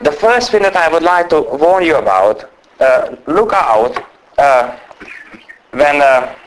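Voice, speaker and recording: A man giving a sermon as a guest preacher. He speaks English with a strong non-native accent, talks fast by nature and tries to slow down, and sounds under the weather with a cold. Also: a little high, animated, rough recording. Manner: naturally fast talker trying to speak slowly. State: unwell with a cold or flu.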